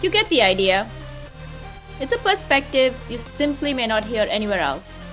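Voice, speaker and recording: A woman hosting a podcast, reading a scripted intro and asking guests measured, friendly questions. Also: on the high side, big pitch swings, a bit bright, faint music behind. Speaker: podcast host.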